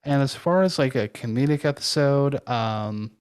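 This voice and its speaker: A man co-hosting a conversational interview, speaking in a halting, tentative way. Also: mid-range voice, animated, a little mellow, smooth. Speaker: podcast co-host interviewing a guest.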